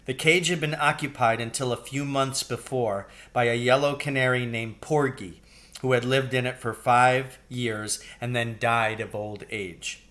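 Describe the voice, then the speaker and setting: A middle-aged man reads a children's novel aloud. His voice sits at an average male pitch. He delivers it as storybook narration for young listeners. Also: fairly even intonation, unhurried, fairly bright tone. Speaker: storybook reader, teacher. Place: indoors, large living room.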